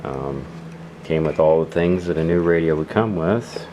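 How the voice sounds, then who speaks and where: Very deep-voiced man, noisy recording. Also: moderate pace, mellow. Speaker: host of a radio gear channel. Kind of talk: casual product demonstration. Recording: clearly noisy background.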